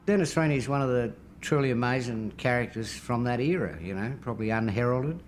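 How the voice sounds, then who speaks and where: A man's voice: medium pitch, fairly quick, slight background noise. An older man with an Australian accent, reminiscing in an interview. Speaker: interviewee, former activist. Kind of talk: reflective interview recollection.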